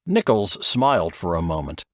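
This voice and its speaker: Low-voiced man narrating fiction, lively pitch. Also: normal speed, no echo, studio quality. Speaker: audiobook narrator.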